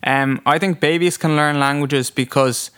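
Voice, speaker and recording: A young man raised first in Japan and then in Ireland gives easygoing answers in a clean, smooth voice. He meanders a little, padding his thoughts with fillers. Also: a little high, normal speed. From Japan, then Ireland from age six. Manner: relaxed, many fillers like "kind of" and "um". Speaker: interviewee. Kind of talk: casual interview answers.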